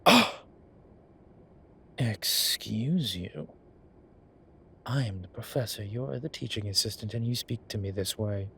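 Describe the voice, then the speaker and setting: A man playing a suave, vain incubus demon professor in an audio roleplay, speaking in a formal, measured, wryly teasing way. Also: on the low side, expressive, vocal fry, pushed and bright, a little hiss or hum, heavily acted. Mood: indignant.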